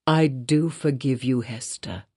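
A woman's voice: on the low side, slow, mellow. A woman voicing an anguished male minister in a storybook, speaking with solemn grief in old-fashioned formal speech. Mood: sorrowful.

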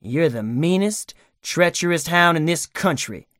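A man voicing a rough, contemptuous thief with a pistol who snarls accusations in a storybook reading. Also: fairly high pitch, lively intonation, a little slow, a bit bright, no room sound, studio quality, pitched above the performer's own voice. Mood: menacing contempt.

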